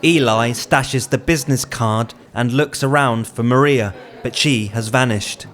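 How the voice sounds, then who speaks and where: A man's voice: mid-range voice, measured pace, fairly bright tone. A man reading screenplay directions as a scripted narration. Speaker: writer reading own screenplay.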